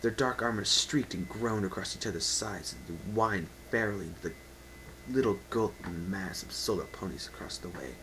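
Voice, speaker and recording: A man with a fairly low voice narrates a story as a dramatic reading. His delivery is theatrical and emphatic, unpolished and unedited. It is an amateur home recording with faint background noise. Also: normal speed, a bit bright, rough recording.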